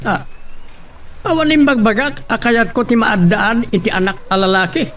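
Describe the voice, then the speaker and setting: Actor as a very high-voiced radio-drama husband. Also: male voice, animated, normal speed, fairly bright tone. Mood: earnest. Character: husband character longing for a son. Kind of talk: Ilocano radio drama acting.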